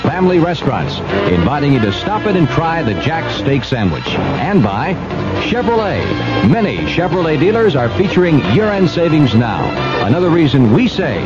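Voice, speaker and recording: A male sports broadcaster reads a scripted radio promo. His fairly high voice moves animatedly over loud music, in an old recording with dulled sound. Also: very animated, normal speed, muffled recording.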